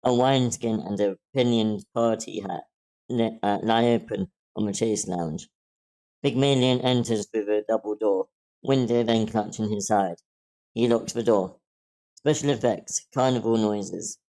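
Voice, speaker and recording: A man's voice: lowish voice, measured pace, dark tone, rough recording. A man reading stage directions as scripted narration at a table read.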